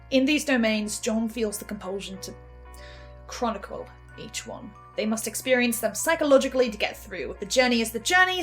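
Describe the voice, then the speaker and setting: High-voiced anxious female researcher, played by a woman. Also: fairly bright tone. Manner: hesitant, stammering, intense. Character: anxious, obsessive female researcher puzzling out theories. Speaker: host playing an in-character researcher. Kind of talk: in-character analytical monologue.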